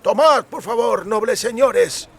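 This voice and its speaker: A man's voice: very high voice, unhurried, a bit bright, husky, pitched above the performer's own voice, heavily acted. A man voicing an eager, chatty amulet merchant with effusive, wheedling sales patter in an audiobook. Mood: eager, confiding. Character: eager, chatty male amulet merchant peddling quack remedies. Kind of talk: audiobook character acting.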